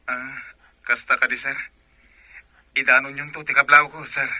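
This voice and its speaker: Give me an actor as a sharp-voiced, deferential Filipino underling. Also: male voice, mid-range voice, flat delivery, normal speed, no echo, low-quality recording. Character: deferential subordinate man answering his superior. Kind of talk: Filipino radio drama acting.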